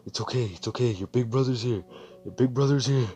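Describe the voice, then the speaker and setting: A man's voice: mid-range voice, brisk, darkish tone, voice pushed up, lightly acted. A man voicing a desperate grieving teen brother. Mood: pleading. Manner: pleading, repeating words. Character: grieving teenage boy, desperate eldest brother.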